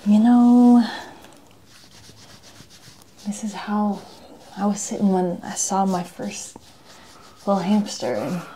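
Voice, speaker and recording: Soft, mellow woman doing ASMR role-play. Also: medium pitch, slow pace, long pauses. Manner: soft-spoken, often dropping into whispers, polite step-by-step instructions. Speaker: ASMR role-play performer playing a security patdown agent.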